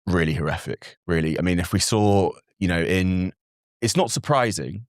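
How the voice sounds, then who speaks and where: A young man, a native Farsi speaker raised in Britain, answering as a guest analyst in an earnest, measured way with frequent fillers and restarts. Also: low voice, expressive, dry recording, studio quality. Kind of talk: interview answers.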